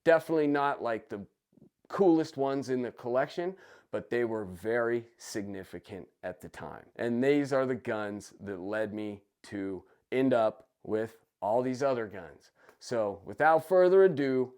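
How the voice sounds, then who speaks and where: Raspy, congested man vlogging. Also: mid-range voice, expressive, unhurried, darkish tone. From United States. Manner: enthusiastic, chatty. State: raspy and nasal from a cold. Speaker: gun channel host. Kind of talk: casual personal vlog. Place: basement room.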